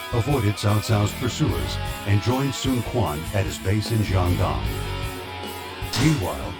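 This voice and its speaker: A man narrating a historical war game as a scripted storyteller. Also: fairly low pitch. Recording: loud music under the voice.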